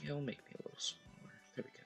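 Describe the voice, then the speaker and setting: A man with a hoarse, worn-out voice, rambling goofily through a casual live stream while he plays a game. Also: very fast, fairly bright tone, soft background music. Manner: rambling, goofy, jokey asides. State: hoarse, losing his voice.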